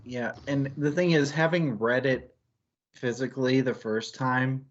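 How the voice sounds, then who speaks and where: A man's voice: mid-range voice, steady tone, a little slow. Reflective man, slightly muffled stream sound. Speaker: co-host of a book club stream. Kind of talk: casual book discussion.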